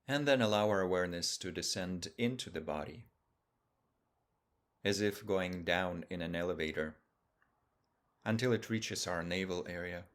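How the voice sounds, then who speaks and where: A deep-voiced man teaches a guided meditation. He speaks in a calm, measured way, setting out short phrases one at a time. Long pauses separate each instruction.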